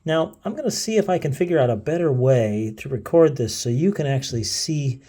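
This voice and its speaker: Man, gadget video host, soft mellow tone, medium pitch, unscripted, hesitant, thinking out loud. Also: animated, fairly quick. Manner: hesitant, thinking aloud as he fiddles. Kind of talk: casual unscripted product demo.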